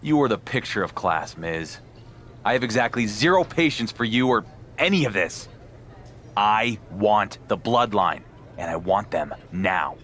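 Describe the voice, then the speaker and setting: A man's voice: fairly low pitch, animated, a little slow, fairly bright tone, a little hiss or hum. A man acting as a determined, brooding champion wrestler in a game cutscene, terse and serious. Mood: cold defiant.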